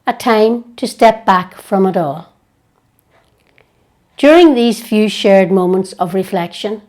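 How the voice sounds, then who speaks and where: A woman leading a guided prayer meditation in a gentle, hushed, unhurried way with long pauses. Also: medium pitch.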